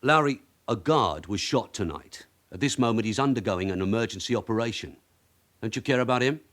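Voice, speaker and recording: An actor playing a shrewd, weary veteran detective sergeant in a radio drama, with a London accent and a blunt, sardonic, authoritative way of questioning. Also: male voice, fairly low pitch, expressive, fairly quick, a bit bright. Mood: grave, pressing. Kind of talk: naturalistic radio drama acting.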